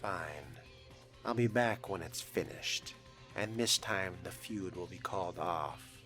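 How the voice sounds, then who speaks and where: A man's voice: deep voice, slow, pushed and bright, soft background music, exaggerated voice. A man voicing a suave, sly fledgling vampire in a role-played video game, with a theatrical, mock-polite drawl. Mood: suave flirtation.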